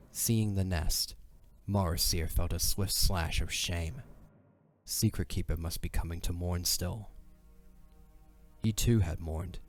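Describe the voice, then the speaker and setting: A man narrating an audio drama as a scripted story. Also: low-pitched. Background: faint music.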